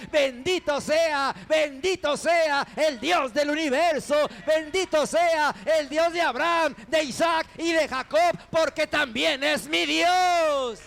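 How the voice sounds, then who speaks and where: A man preaching a sermon as pastor, building to loud fervent proclamations and dropping into quick conversational asides. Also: very high-pitched, gravelly.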